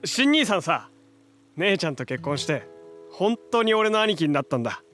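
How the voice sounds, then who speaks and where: An actor playing a brash, warm-hearted young hero in a superhero audio drama, talking casually, cocky but sincere. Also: male voice, high-pitched, very animated, normal speed, soft background music.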